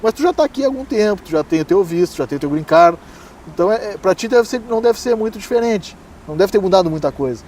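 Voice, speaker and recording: A man's voice: high voice, lively intonation, quick pace, darkish tone, a little hiss or hum. A man hosting an interview, asking questions and backing his guest up with frequent "aham". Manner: affirming, frequent "aham" and laughs. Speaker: interviewer, channel host. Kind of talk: casual interview chat.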